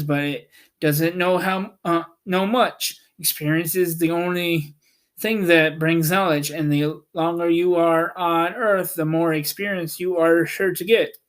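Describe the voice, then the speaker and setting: A reader as a meek, slow-talking humbug wizard. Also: mid-range voice, a bit bright, close to an everyday voice. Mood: reassuring. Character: meek, kindly humbug wizard, little man. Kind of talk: storybook character reading.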